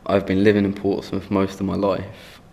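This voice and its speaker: A 19-year-old man, a care leaver speaking haltingly with fillers in personal testimony. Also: deep voice, completely flat delivery, creaky, dark tone. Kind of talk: personal testimony for a short film.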